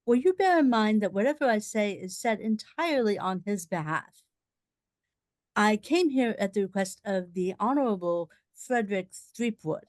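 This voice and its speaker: Woman playing an oily, shifty gentleman fixer, dry sound. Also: mid-range voice, no room sound, natural voice. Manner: formal, oily politeness. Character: shifty, ingratiating gentleman fixer running a delicate errand. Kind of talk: radio play acting.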